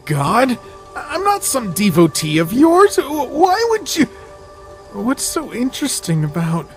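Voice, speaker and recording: A man playing a proud, exasperated young male deer in an animated comic, indignant and sputtering. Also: very high-pitched, a little slow, soft background music, full character voice. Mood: stunned.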